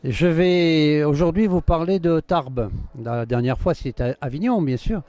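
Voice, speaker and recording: Male host, mid-high and warm, dry recording; halting, filler-heavy delivery. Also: dry recording. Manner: hesitant, many fillers. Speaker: interviewer, host. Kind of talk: interview introduction.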